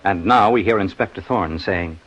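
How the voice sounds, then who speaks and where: A man's voice: low-pitched, moderate pace, a bit bright, highs cut off, soft background music. An American man working as a polished, formal radio announcer and narrator in a scripted 1950s style.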